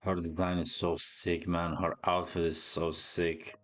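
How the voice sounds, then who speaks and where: Male reaction host, lowish pitch, informal, hesitant, repetitive thinking-aloud commentary. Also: flat delivery, measured pace, a bit bright. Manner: hesitant, rambling, repeats words.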